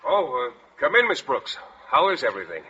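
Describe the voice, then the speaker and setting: An actor playing an earnest, oblivious biology teacher with a hesitant, excitable manner in a 1940s radio comedy. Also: male voice, mid-range voice, brisk, very noisy, natural voice. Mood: worried, hesitant. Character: earnest, shy, oblivious biology teacher fussing over lab animals. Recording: muffled vintage 1940s radio broadcast.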